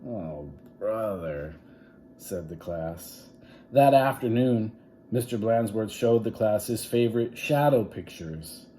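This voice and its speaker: A man reads a picture book aloud in a teacherly way. His voice is fairly low and soft-edged, and he takes his time with expressive, dramatic pauses. There is faint noise in the room behind him. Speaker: teacher reading a storybook to children. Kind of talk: read-aloud storybook narration with asides.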